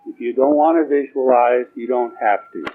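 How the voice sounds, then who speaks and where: A man leading a guided meditation, giving slow spoken instructions in a soothing, permissive and informal way, with pauses. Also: mid-range voice. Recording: dull, muffled lo-fi recording with music.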